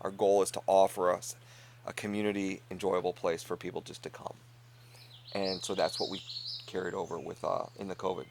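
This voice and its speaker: A middle-aged man, co-owner of an inn, talking earnestly in a casual conversation, laughing often and letting sentences trail off quietly. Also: low-pitched, little pitch movement, brisk, vocal fry, a little hiss or hum.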